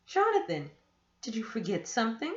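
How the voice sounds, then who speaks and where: A brisk, slightly cross storybook aunt, read aloud by a woman. Her fairly high voice rises and falls widely, in a roomy recording. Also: wildly swooping pitch. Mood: friendly, helpful. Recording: echoey room, dull muffled sound.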